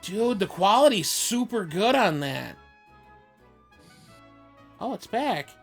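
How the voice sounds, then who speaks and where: A woman's voice: medium pitch, very animated, takes it slowly. Casual woman gamer over faint music. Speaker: game player. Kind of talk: casual gameplay chat.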